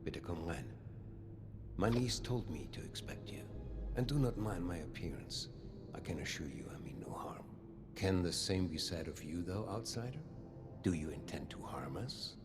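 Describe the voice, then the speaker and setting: A man's voice: low-pitched, lively intonation, moderate pace, music in the background. An actor playing a suave, pompous older German vault overseer and businessman in a video game, courtly and flamboyant in manner. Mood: guarded courtesy. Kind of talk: scripted video game dialogue.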